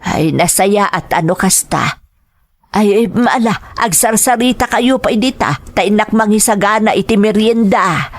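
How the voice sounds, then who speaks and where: A woman's voice: mid-range voice, a little slow. Casting: actress as older Filipino mother of a grown son, motherly, careful and hesitant, radio drama. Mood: welcoming. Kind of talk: Ilocano audio drama acting.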